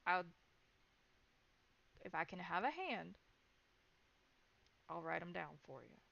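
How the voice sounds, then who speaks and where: A woman voicing a cornered male informant in a tabletop game, evasive and halting, eager to placate. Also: on the low side, big pitch swings, creaky, bright, forceful tone, dull audio, full character voice. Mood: flustered.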